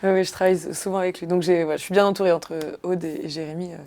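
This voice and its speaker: Young woman comedian, chatty and halting, slightly low voice; interview guest. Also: steady tone, darkish tone, a little hiss or hum. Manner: chatty, hesitant, many fillers and restarts. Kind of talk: casual interview chat.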